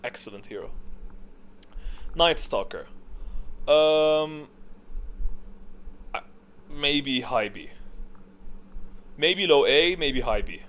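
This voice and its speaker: A man's voice: on the high side, lively intonation, extremely slow, pauses often, fairly bright tone. A man giving casual off-the-cuff gaming commentary, rambling as he thinks aloud and corrects himself. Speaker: video creator and gamer. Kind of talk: casual off-the-cuff commentary ranking game characters.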